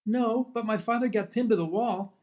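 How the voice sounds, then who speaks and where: A man's voice: high voice, moderate pace, darkish tone, lightly acted. Man playing a naive, confused young fellow. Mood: earnest. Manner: guileless, dim-witted. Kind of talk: old-time radio comedy acting.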